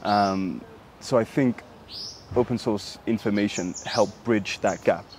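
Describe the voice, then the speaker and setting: A young man from Sudan speaks in a low register, answering interview questions thoughtfully. His delivery halts often with fillers and restarts, and a faint hiss sits under the voice. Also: a little mellow. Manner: thoughtful, halting, many fillers. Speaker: interviewee, human rights activist.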